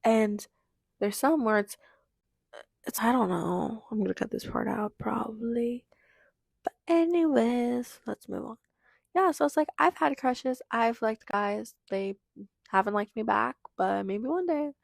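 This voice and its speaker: A teenage girl hosting her own podcast, chatting in a rambling, confessional way with lots of fillers, her voice hoarse from losing it. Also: on the high side, a little slow, no echo, clean studio sound. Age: teenager in high school. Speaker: podcast host. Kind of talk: casual confessional monologue.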